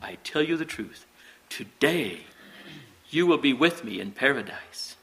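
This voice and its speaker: A man voicing a dying crucified holy man in a scripture story, anguished yet gentle and resolute, speaking slowly and weightily. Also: medium pitch, expressive, low-quality recording. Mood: gentle, solemn. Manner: solemn, slow, weighty.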